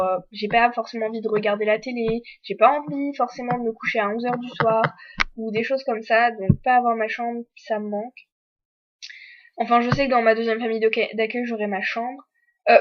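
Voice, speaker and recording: A woman's voice: on the high side, highs cut off. A teenage girl from France, an exchange student, chatting candidly to the camera with many fillers. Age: teens. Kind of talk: casual chat to camera.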